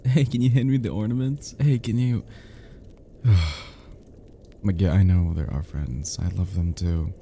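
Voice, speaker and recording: A man playing a cozy, teasing young male friend who is sweetly smitten, in an intimate ASMR roleplay, murmuring softly and hesitantly with chuckles. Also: low voice, fairly even intonation, unhurried, creaky, pauses often, slight background noise. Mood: shy, affectionate.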